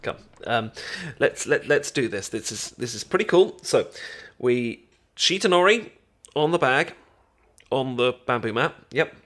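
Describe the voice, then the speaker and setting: A man's voice: mid-range voice, lively intonation, a little slow, fairly bright tone. A British man acting a shy, affectionate best friend in an ASMR romantic roleplay, hesitant, stammering and tender. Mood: playful, encouraging. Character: shy, affectionate boyfriend-to-be best friend teaching sushi making.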